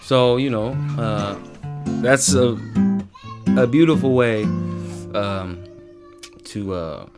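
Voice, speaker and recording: A man hosting a podcast, chatting casually and telling stories in an animated way with frequent check-in questions. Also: medium pitch, drawn-out words, pauses often, loud music behind the voice. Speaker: podcast host, musician.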